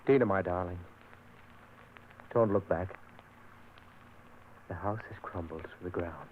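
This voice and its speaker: An actor playing a calm, protective young suitor who talks soothingly and reassuringly. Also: male voice, low voice, measured pace. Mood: tender. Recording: tinny hissy old radio recording.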